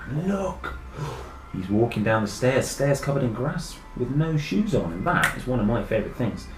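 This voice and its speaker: A British man reading a picture book aloud to children, enthusiastic and expressive, chatting directly to his young listeners. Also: mid-range voice, normal speed, music in the background. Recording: echoey room with faint background noise.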